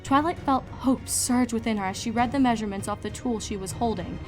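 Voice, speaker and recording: A woman's voice: a little high, fairly quick, over music. A woman reading a story aloud as a scripted narrator of fan fiction.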